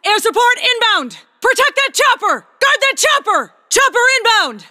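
A woman's voice: very high voice, sing-song, moderate pace, pushed and bright, heavily acted. An actress playing a tough female soldier calling out urgent, clipped military warnings in a video game. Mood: urgent shouting. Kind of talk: video game combat barks.

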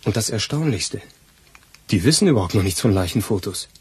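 An actor playing an eager, loyal police assistant in a crime radio play, arguing colloquially. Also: male voice, fairly low pitch, animated, fairly quick, slightly rough, no room sound. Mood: eager, deducing. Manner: colloquial, argumentative.

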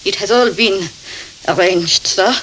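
An actress playing a solicitous, foreboding woman innkeeper with an Eastern European accent in a radio drama, halting and deferential. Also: medium pitch, fairly bright tone, natural voice, rough recording. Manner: halting, tag questions, deferential. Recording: hissy 1979 cassette tape.

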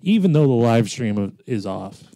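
Mellow, self-mocking podcast host. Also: male voice, mid-range voice, big pitch swings, normal speed, no echo. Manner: self-deprecating, sighing, joking. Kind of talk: casual chat.

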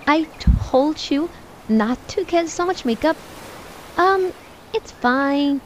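A woman as the bossy, dramatic young mom in a homemade dollhouse cartoon roleplay, high voice over faint noise. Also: lively intonation, slow pace. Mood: scolding. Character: bossy, disapproving young mom of a little girl. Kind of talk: amateur voiced family roleplay.

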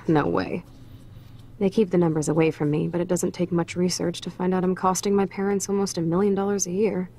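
A husky, sardonic punk teen girl. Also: fairly low pitch, level tone, brisk, warm tone. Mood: teasing. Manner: sardonic, teasing. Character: wry, teasing punk teenage girl. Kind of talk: video game dialogue.

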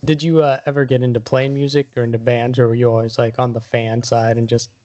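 Guy hosting a music podcast, average pitch, really dry sound. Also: little pitch movement, brisk, dark tone, no room sound. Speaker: podcast host. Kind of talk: podcast intro and interview.

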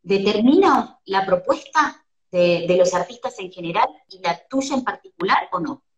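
A woman's voice: medium pitch, lively intonation, moderate pace, echoey room, highs cut off. A woman in her thirties with a Rioplatense Spanish accent, hosting an interview over a video call and working out her questions as she speaks. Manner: thoughtful, searching for words. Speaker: host, interviewer. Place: room at home.

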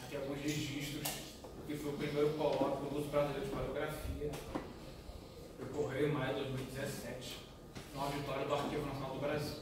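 A man's voice: mid-range voice, completely flat delivery, brisk. A man in his thirties with a Brazilian accent, giving a formal slide presentation as an academic conference speaker in a lecture room, picked up by a distant camera. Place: lecture room with an audience, indoors. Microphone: distant camera in the audience. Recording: very echoey, noisy room recorded from afar.

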